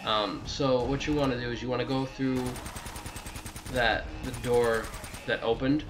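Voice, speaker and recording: A man's voice: medium pitch, steady tone, unhurried, background noise. A man giving a casual video game walkthrough, rambling and correcting himself, with jokey asides to the game. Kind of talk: casual gameplay walkthrough commentary.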